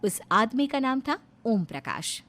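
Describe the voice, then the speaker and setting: A woman's voice: on the high side, big pitch swings, measured pace, a bit bright. A woman reading scripted narration for a moral cartoon story.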